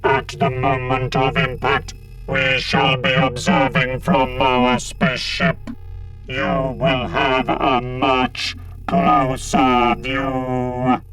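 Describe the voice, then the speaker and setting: A man's voice: medium pitch, monotone, extremely slow, over loud music, low-quality recording. A man voicing a cold, emotionless cyborg leader in an audiobook, with a clipped, commanding and menacing delivery. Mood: cold, gloating. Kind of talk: dramatised audiobook reading.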